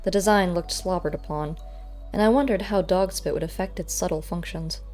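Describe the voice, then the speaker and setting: A woman reading a first-person story aloud as an audiobook narrator, measured and expressive. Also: on the low side. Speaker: audiobook reader. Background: faint music.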